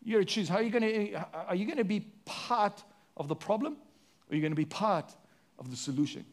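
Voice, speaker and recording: A man with a South African accent delivers a sermon from the pulpit. His voice sits high for a man. He throws rhetorical questions at the congregation and moves between emphatic lines and quieter, hushed ones. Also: lively intonation, fairly quick. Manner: preaching with sweeping rises and drops, rhetorical questions. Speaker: pastor.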